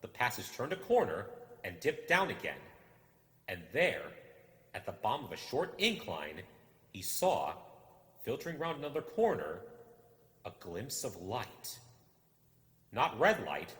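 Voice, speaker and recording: Male audiobook reader, suspenseful and theatrical, bright forceful tone, big echoing reverb. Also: fairly low pitch, very animated, unhurried, long pauses. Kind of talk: dramatic scripted storytelling. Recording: echoey, long reverb.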